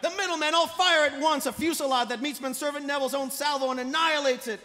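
A man's voice: very high-pitched, steady tone, quick pace, bright, forceful tone. A man reading dramatic stage directions aloud at a live table read in a convention hall. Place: large indoor convention panel room. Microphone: table microphone. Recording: noisy echoey convention hall panel.

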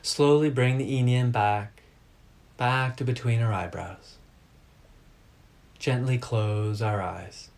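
Slow-paced man guiding a meditation. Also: medium pitch, gravelly. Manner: measured short phrases with calm, deliberate delivery. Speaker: meditation teacher. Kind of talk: guided meditation instruction.

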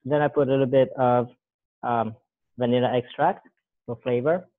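A man's voice: mid-range voice, moderate pace. A man in his thirties casually walking through a recipe, rambling and correcting himself as he works. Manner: relaxed, rambling, self-correcting while working with his hands. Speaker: guest demonstrating a recipe. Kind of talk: casual cooking demo. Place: large bare room with a counter, indoors. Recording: thin phone-like call audio.

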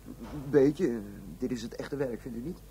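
A man's voice: mid-range voice, unhurried, mellow, slight background noise, close to an everyday voice. An actor playing an eager, deferential junior policeman in a radio play. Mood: slightly nervous.

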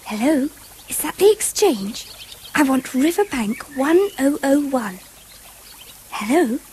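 A woman's voice: sits high, expressive, slow pace, a little mellow, rough recording. An actress playing an earnest, imaginative little English girl, speaking precisely and eagerly in a 1970s radio drama. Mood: chatty, polite. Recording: faintly hissy old radio broadcast.

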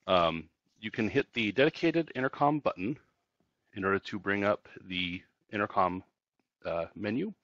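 A man's voice: sits low, fairly bright tone, no echo, muffled recording. A man narrating a casual product tutorial as a company trainer, explaining things step by step.

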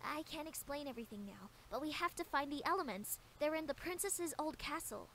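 A woman's voice: high-pitched, animated, brisk, fairly bright tone, a little hiss or hum. A woman voicing an earnest young unicorn pony. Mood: urgent. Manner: earnest, urgent, pleading.

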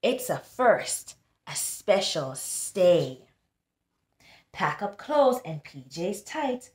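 A woman in her thirties reading aloud to young children as a tutor, pausing to teach and speaking to them directly in an animated, instructive way. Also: medium pitch. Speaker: tutor hosting a children's book club. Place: small indoor room. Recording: echoey small room.

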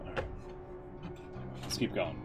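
A man's voice: unhurried, soft background music. A man streaming a game, giving casual, irreverent, joking reaction commentary.

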